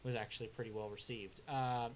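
A man's voice: medium pitch, flat delivery, a little fast. A man co-hosting a casual album review chat, laid-back and hesitant with lots of filler sounds, delivering blunt, dry verdicts. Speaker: music review co-host.

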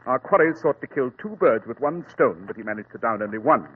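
A man acting a commanding detective from an old radio play, rapid and very animated. His diction is clipped and precise, and he sounds sure of himself. The recording is thin and muffled. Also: medium pitch, slight background noise, natural voice. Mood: confident, lively. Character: sharp, confident, commanding male detective.

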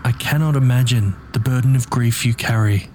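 An actor playing a gentle, solemn minister with a formal, condoling way of talking in an audio drama. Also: male voice, mid-range voice, normal speed, noisy background, close to an everyday voice. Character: gentle, solemn minister leading a graveside funeral.

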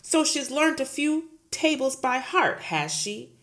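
A woman voicing a huge, bullying headmistress who shouts, barks and sneers at everyone. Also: mid-range voice, lively intonation, unhurried, close to an everyday voice. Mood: blustering. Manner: shouting, barking, scornful.

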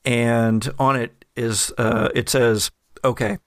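Gravelly male game master, dry close sound, descriptive narration with fillers. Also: mid-range voice, level tone, takes it slowly, dry recording.

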